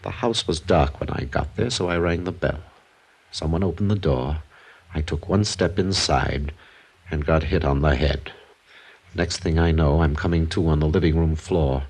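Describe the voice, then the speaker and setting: An American actor playing a weary, bitter, soft-mannered ex-con drifter near sixty in a 1950s radio crime drama. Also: male voice, extremely low pitch, normal speed, gravelly, breathy edge, full character voice. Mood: weary recounting.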